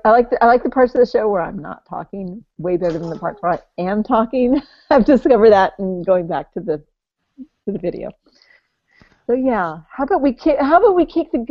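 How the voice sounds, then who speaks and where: A woman chats casually as a podcast co-host, quick to poke fun at herself and drop a swear word. Her voice sits in the middle range. The sound is dry and slightly muffled. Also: fairly high pitch, no room sound. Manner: chatty, self-deprecating, swears freely. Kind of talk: casual chat.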